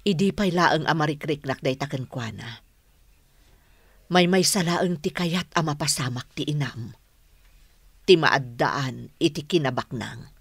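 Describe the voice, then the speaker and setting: An older, loyal housemaid in a radio drama, recounting family secrets with grave, measured earnestness. The sound is dry and close. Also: female voice, low voice, gravelly, slightly rough, no room sound, heavily acted. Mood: grave, disapproving. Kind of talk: Ilocano radio drama acting.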